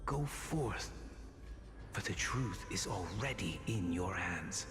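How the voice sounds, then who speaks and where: An actor playing a calm, gentle old sage who mentors a former pupil, speaking in a measured, solemn way. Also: male voice, low voice. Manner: measured, solemn, blessing-like speech. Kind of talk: video game voice acting. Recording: roomy hall reverb with game music.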